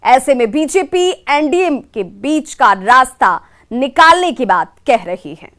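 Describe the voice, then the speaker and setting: A female news anchor presents a scripted story. Her voice sits high in pitch. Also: very animated, unhurried, a bit bright.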